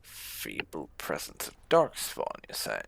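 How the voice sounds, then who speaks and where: A woman's voice: very deep voice, huge pitch swings, slow, gravelly, fairly bright tone, slight background noise. A woman giving casual commentary as she plays a video game, often musing aloud to herself. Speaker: gaming video host. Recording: music behind the voice. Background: game music.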